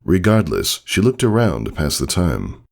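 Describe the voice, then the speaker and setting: A man's voice: low-pitched, sing-song, moderate pace, vocal fry. A man reading a scripted story aloud as its narrator. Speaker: fan fiction reader, narrator.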